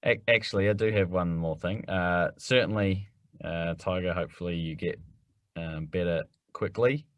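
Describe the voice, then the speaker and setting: A man co-hosting a golf rules podcast, explaining quickly and teasing his partner in casual chat. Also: deep voice, no room sound. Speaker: podcast co-host.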